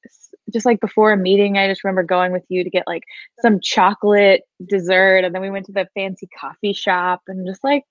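A woman's voice: mid-range voice, little pitch movement, fairly quick, no room sound, highs cut off. A woman in her thirties chatting casually, often laughing, hesitant, with many fillers. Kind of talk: casual conversation.

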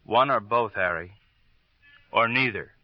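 A man's voice: fairly low pitch, measured pace, dry recording, close to an everyday voice. Sardonic radio cop played by a man, dry sound. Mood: dry, needling. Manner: sarcastic, insinuating. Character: sardonic, needling male police detective. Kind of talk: 1950s radio crime drama acting. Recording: dull old radio recording.

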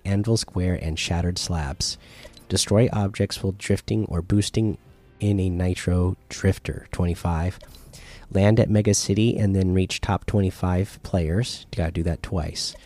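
A man's voice: sits low, dark tone. A man hosting a casual solo gaming podcast who is losing his voice, reading through lists plainly with frequent uhs at a close desk mic. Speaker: podcast host.

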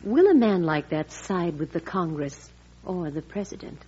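An actress playing a genteel, frail but shrewd president's wife in a classic radio drama, speaking politely and with measure. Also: female voice, on the low side, expressive, normal speed, a little mellow, breathy edge. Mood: shrewd, doubtful. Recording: muffled old radio recording.

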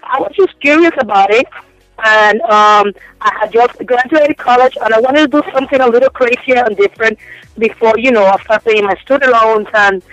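A young woman with a Spanish accent chats openly and laughs easily as a caller-in on a radio show. Her voice comes through a dull, lo-fi phone line with almost no room sound, and some quiet music plays behind her. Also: fairly high pitch, normal speed, no room sound. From Cuba. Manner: frank, bubbly, giggly. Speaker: phone-in guest. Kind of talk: casual radio interview. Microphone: telephone.

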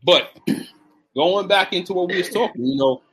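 Forties male fitness coach, bright tone, casual stream talk, stammers and repeats himself. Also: sits high, moderate pace, husky. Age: 40s. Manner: animated, repeats words, gives shout-outs. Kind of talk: casual live stream chat.

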